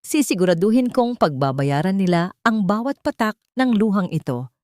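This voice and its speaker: A woman's voice: mid-range voice, animated, normal speed, darkish tone, no room sound, studio quality, natural voice. A woman voicing a protective, devoted male lawyer in an audio drama, speaking in an earnest, reassuring way. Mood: tender resolve.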